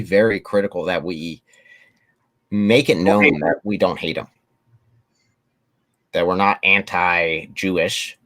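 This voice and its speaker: A man's voice: fairly low pitch, rough recording. A man hosting a casual livestream chat, speaking earnestly and stumbling over his words.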